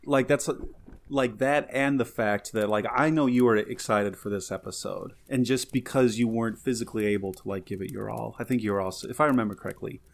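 A man's voice: fairly low pitch, steady tone, brisk, vocal fry. A man co-hosting a podcast in casual chat, upbeat and rambling, full of filler words. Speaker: podcast co-host.

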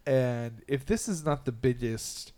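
A man's voice: mid-range voice, animated, unhurried, mellow. Wry joking male podcast host. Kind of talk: casual chat.

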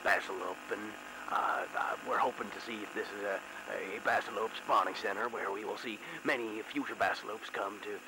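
A bumbling field reporter who rambles and stumbles through his report, as a man plays him. The voice is very deep, and clear background noise runs under it. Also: fairly even intonation, fairly quick, lightly acted. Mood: rambling. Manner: rambling, hesitant. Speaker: mock news reporter.

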